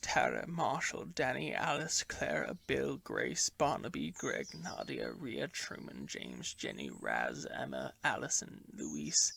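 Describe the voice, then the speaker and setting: A man playing a dying, guilt-ridden time traveller in a fan audio drama, speaking in a brooding, theatrical, halting way. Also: medium pitch, vocal fry, fairly bright tone, heavily acted. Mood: mournful reciting. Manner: brooding, theatrical, halting delivery dropping into whispers. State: weak, in pain, dying.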